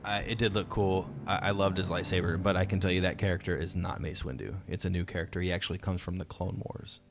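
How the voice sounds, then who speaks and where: A man's voice: low voice, steady tone, quick pace, creaky. A man hosting a podcast discussion, talking casually and enthusiastically in informal, slangy language. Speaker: podcast host. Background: music playing faintly.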